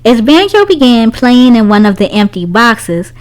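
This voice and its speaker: A woman narrates a children's storybook in a fairly high voice. Her pitch moves up and down in a very lively way, and her delivery is expressive, shaped for young listeners. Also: normal speed. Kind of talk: read-aloud story narration.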